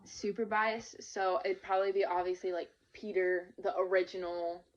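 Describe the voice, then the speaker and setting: A woman, co-hosting a casual podcast chat, animated and laughing, full of "like". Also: on the low side, normal speed. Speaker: podcast co-host. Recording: dull lo-fi podcast recording.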